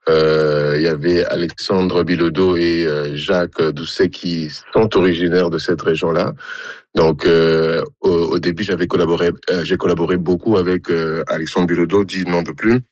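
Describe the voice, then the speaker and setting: A man's voice: sits low, fairly even intonation, moderate pace, darkish tone, no room sound, dull audio. A man from Congo, a musician guest on a radio interview, answering with many hesitations and restarts.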